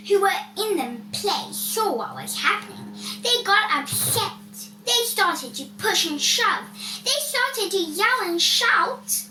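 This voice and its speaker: High, animated woman reading a children's story. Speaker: story reader. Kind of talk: scripted children's storybook narration. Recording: roomy, distant mic, faint noise.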